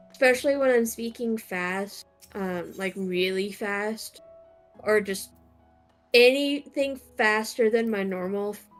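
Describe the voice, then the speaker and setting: A young woman chats through a game stream, slow and halting, with plenty of fillers and false starts. Her voice sits a little high, and faint music plays behind her. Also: fairly bright tone. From United States. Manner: hesitant, many fillers and restarts. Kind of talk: casual chat.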